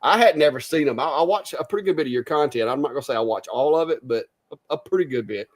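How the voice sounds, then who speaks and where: A man's voice: a little high, expressive, quick pace. A middle-aged man hosting a live stream, chatty and easygoing, with frequent restarts. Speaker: host. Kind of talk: casual live-stream chat.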